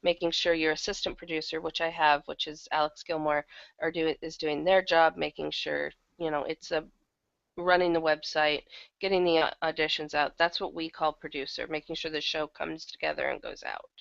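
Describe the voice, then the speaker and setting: A woman's voice: low voice, little pitch movement, moderate pace, gravelly, fairly bright tone, no room sound, dull audio. A woman talking casually as a guest in an interview about her work as a producer. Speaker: guest, audio drama producer.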